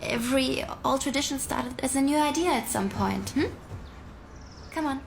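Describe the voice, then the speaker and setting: An actress playing a courteous young European princess in a period film, speaking politely and earnestly with some hesitation. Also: female voice, high-pitched, moderate pace, vocal fry, slight background noise. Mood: earnest, gently insistent. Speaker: film actress in a leading part.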